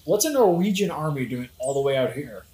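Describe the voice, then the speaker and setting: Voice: mid-range voice, expressive, fairly quick, mellow, lightly acted. An actor playing a flippant, sardonic, brooding young prince in a satirical modern-language audio play. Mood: casual, curious. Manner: flippant, mocking, theatrical. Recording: roomy echo, faint music behind.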